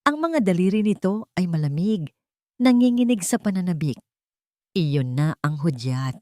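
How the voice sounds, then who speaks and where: A woman's voice: on the low side, very animated, normal speed, darkish tone, no echo, clean studio sound. A woman reading a scripted romantic drama story as narrator.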